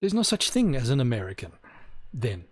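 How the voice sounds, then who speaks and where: A man's voice: mid-range voice, wildly swooping pitch, husky, no room sound. A man giving an opinionated solo monologue to camera, emphatic and repeating his points for stress. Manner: slows down to stress key words. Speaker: video host.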